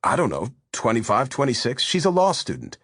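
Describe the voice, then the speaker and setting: A man's voice: medium pitch, wildly swooping pitch, brisk, fairly bright tone, slightly rough, dry recording, studio quality, pitched above the performer's own voice, heavily acted. A man voicing a younger, easygoing boyfriend who pleads and soothes in a storybook reading. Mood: placating.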